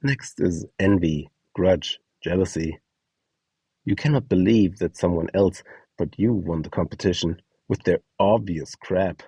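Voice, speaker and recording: A man's voice: sits low, unhurried, warm tone, husky, dry recording, distant mic. A man reading a scripted instructional guide, acting out short example lines as he goes. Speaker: instructor presenting a voice donation guide.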